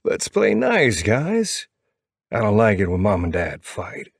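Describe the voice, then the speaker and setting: A man's voice: lowish voice, huge pitch swings, slow pace, creaky, breathy edge, no echo, studio quality, exaggerated voice. A man voicing a playful pretty-boy biker in an audiobook, mocking and teasing as he talks.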